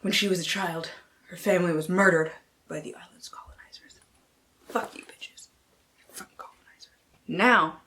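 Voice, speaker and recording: A woman's voice: lowish voice, a bit bright. A woman book vlogger chatting casually and reading blurbs aloud in a playful, theatrical way, with slang and free swearing.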